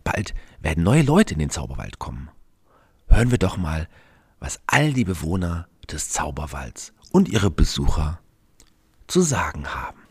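A man narrating a children's radio play as a measured storyteller who addresses the listeners. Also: a bass voice, very animated, slightly rough, dry recording, studio quality.

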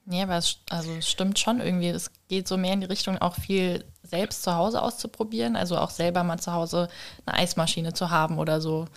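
Eine Frau, die in einem Podcast zwanglos und zustimmend mitplaudert. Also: female voice, on the low side, steady tone, a little fast. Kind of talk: casual podcast chat.

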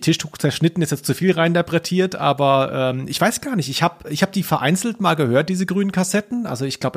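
A man co-hosting a podcast, chatting informally and wryly while thinking aloud. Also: a little high. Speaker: podcast co-host. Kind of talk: casual podcast chat.

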